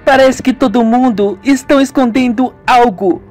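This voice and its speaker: A man voicing an angry, grieving young woman in a radio soap, high and tearful. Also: moderate pace, a little mellow, faint music behind. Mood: irritada. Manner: tearful, furious outbursts.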